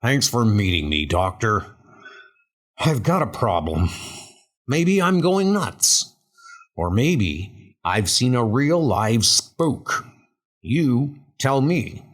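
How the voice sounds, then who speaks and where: A man's voice: mid-range voice, very animated, takes it slowly, pauses often. Man acting an agitated, haunted gangster boss. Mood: uneasy. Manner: blunt, streetwise, increasingly frantic. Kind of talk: amateur comic dub acting. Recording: music behind the voice.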